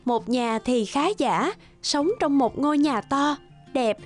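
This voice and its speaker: A woman's voice: sits high, slow. A woman narrating a scripted fairy tale for a cartoon. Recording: music under the voice. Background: background music.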